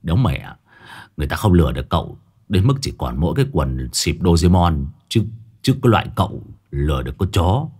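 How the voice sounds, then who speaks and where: A man voicing a sly young sidekick who mumbles low asides into a phone. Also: big pitch swings, a little fast, a little mellow, breathy edge, heavily acted.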